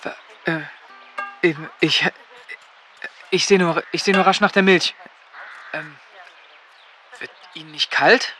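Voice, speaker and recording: An actor playing an awkward, polite seventeen-year-old farmhand in a radio drama, stammering with embarrassment. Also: male voice, on the high side, steady tone, takes it slowly, long pauses, sharp tone, faint music behind. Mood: embarrassed, polite.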